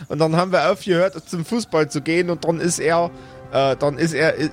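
A man's voice: fairly high pitch, close to an everyday voice. A man voicing a chatty, flustered woman who stammers and rambles, in an improvised role-play audio game. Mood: rambling. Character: Sille, chatty flustered East German woman.

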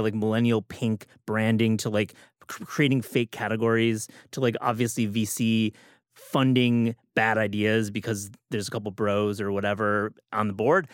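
Male podcast host, medium pitch, informal and opinionated, thinks aloud, dry studio recording. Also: no room sound. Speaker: interviewer, host. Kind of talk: conversational interviewing.

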